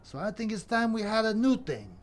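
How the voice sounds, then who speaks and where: Man playing a high-voiced, swaggering Death. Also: big pitch swings, brisk, slight background noise. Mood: smooth persuading. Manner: slangy, swaggering sales-pitch talk. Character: Death, brash scheming male horseman of the apocalypse. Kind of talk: audio comedy drama acting.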